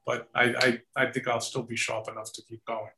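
A man in his sixties with a New York accent, a company founder chatting in an interview, relaxed and rambling with many fillers. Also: medium pitch, a little fast, creaky. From New York City. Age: 60s. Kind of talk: casual interview chat. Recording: roomy echoey sound.

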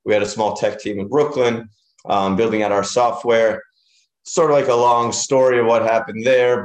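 A man in his thirties, originally from Israel, talking casually as an interview guest with many fillers and restarts. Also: fairly low pitch. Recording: roomy echoey room.

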